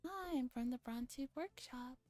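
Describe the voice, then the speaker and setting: A woman's voice: high voice, animated, moderate pace, a little mellow, faint music behind. A woman voicing a friendly, polite girl from a repair workshop in a visual novel.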